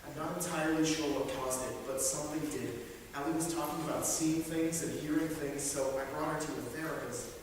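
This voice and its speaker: A man's voice: fairly high pitch, fairly even intonation, fairly quick, lightly acted. A man playing a skeptical, weary husband who brushes off his wife's fears, in a staged play reading. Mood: grave. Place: theatre hall at a staged reading. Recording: very echoey hall, distant mic, clear noise.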